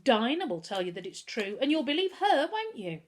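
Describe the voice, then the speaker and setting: A woman doing a shouting indignant schoolboy. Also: high voice, very animated, moderate pace, pitched above the performer's own voice. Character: angry excitable young schoolboy.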